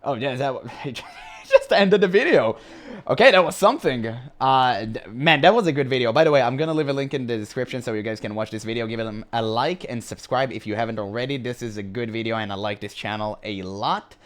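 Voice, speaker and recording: A man with a high voice, by his own account, hosting a reaction video. He talks casually, with a blunt and mocking edge, and laughs often. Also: a little fast.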